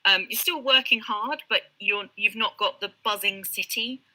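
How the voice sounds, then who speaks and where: Bright-voiced British woman tutor. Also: medium pitch, moderate pace, rough recording. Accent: British English. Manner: friendly explaining with frequent ums and light laughs. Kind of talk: live online Q&A. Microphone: video call.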